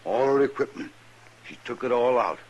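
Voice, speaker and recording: A man's voice: medium pitch, animated, normal speed, darkish tone, breathy edge, muffled recording. An actor playing a plain, dutiful frontier stableman, folksy and respectful, in old-time radio. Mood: concerned.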